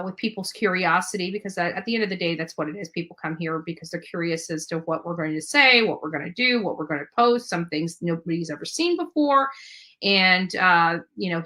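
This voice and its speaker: A woman's voice: fairly low pitch, sharp tone. A woman giving unscripted online commentary in a rambling, repetitive way that leans on stock phrases.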